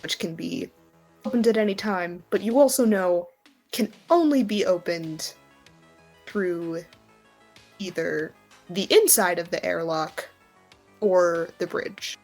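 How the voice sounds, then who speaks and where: A woman's voice: fairly low pitch, takes it slowly, long pauses, darkish tone, faint music behind. A woman game master who improvises narration for a tabletop game and explains the scene in a conversational way.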